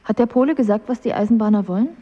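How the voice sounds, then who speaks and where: An actress playing a blasé, irritated queen in her thirties in a radio drama, dry and brisk. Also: a little high, lightly acted. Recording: dull sound with hall reverb.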